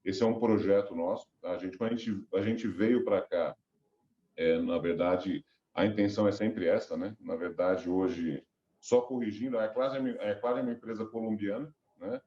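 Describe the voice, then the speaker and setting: A man's voice: fairly low pitch, normal speed, warm tone, reverberant, dull audio. A middle-aged man with a cold and a stuffy, nasal voice, rambling through a business interview as a company director. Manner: rambling, many restarts and fillers.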